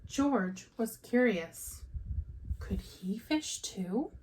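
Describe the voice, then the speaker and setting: A woman teacher reading a picture book aloud to young children in a deliberate, expressive storytelling way. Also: on the high side, slight background noise, rough recording.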